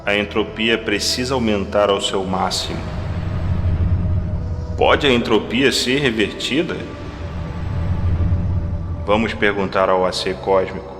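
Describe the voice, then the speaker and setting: A man playing the solemn, questioning collective mind of future humanity, speaking deliberately. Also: on the low side. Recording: long reverberant hall effect, music behind.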